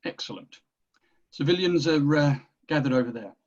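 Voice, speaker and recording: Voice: mid-range voice, normal speed, close to an everyday voice. Lo-fi sound. Female general, fifties played by an actor: stern, dismissive, formal table-read delivery.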